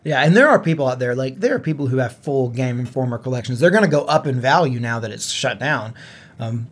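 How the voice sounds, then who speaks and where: A man's voice: mid-range voice, animated, fairly quick. A man with a mid-range voice co-hosts a gaming podcast. He talks in a casual, conversational style, as in an easy chat with a fellow host.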